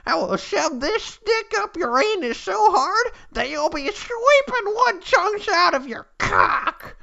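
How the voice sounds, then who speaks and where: A hot-tempered young shrine maiden as a man puts her on, very high and wildly swooping. She is brash and foul-mouthed, in a piercingly bright voice for a comic story reading. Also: very animated, takes it slowly, vocal fry, muffled recording, full character voice. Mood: angry, threatening. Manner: brash, swearing.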